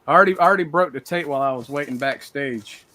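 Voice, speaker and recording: A man's voice: on the high side, quick pace, pushed and bright. A man chatting casually as a panelist on an online call. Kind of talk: casual chat.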